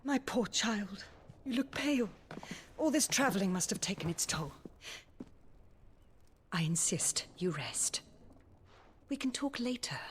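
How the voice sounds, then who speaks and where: A woman's voice: mid-range voice, animated, measured pace, pauses often, slight background noise, natural voice. An actress playing a gracious, kindly middle-aged widowed noblewoman in a video game, with a formal, tender manner. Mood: motherly concern. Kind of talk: dramatic video game voice acting.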